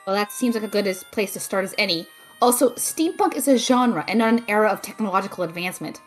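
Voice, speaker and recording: A woman's voice: medium pitch, animated, fast talker, faint music behind, lightly acted. A woman playing a witty, brainy magical sidekick, dry, teasing and precise, in a fantasy audio drama.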